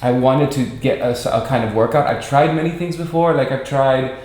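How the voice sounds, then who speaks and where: A man's voice: mid-range voice, a little mellow. Male interview guest, 40s, American, casual and reflective, feeling for his words, roomy echoing sound. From Miami, United States. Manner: halting, searching for words, repeats himself. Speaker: interview guest, performer and director. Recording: echoey room.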